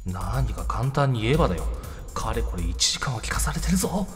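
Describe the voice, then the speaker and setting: A man plays a timid teenage newcomer in an audio drama. He sounds bewildered and hesitant, stammering with wide swings of pitch over background music. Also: sing-song, a little fast, lightly acted. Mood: muttering, exasperated. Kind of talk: amateur voice drama acting. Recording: music under the voice.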